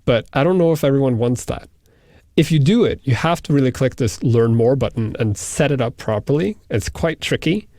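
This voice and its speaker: A man whose first language is Norwegian, explaining software settings step by step in a screen-recorded tutorial. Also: medium pitch, no echo, clean studio sound.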